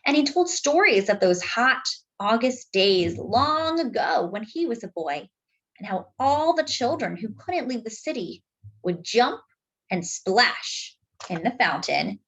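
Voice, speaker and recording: A woman reading a picture book aloud to children, expressive and deliberate, dropping in chatty asides. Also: mid-range voice, husky, rough recording. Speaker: children's story reader.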